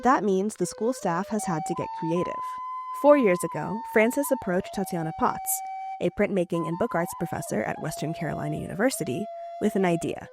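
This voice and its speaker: A woman radio reporter narrating a scripted news feature in a measured, clear delivery. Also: on the low side.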